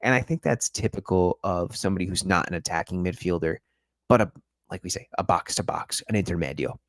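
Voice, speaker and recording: Casting: male stream host, very low voice, dry close sound, chatty and analytical. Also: creaky, dry recording. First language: English. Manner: chatty, analytical, addresses viewers directly. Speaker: football podcast host. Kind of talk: live-stream match review.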